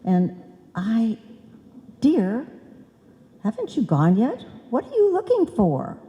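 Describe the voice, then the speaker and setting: An actress playing a scatterbrained, bossy wife who earnestly mangles sayings in an old-time radio comedy. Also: female voice, fairly high pitch, wildly swooping pitch, slow, highs cut off, full character voice. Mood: chiding. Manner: earnest malapropisms, chiding. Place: on stage in a live performance. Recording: live hall recording, long reverb.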